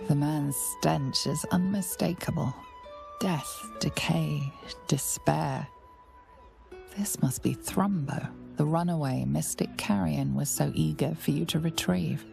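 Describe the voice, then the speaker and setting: Very creaky, low, ominous narrator. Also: female voice, a little mellow, soft background music, exaggerated voice. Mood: grave, ominous. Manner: slow, dramatic. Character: grave, ominous female narrator of a fantasy game. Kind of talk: scripted game narration.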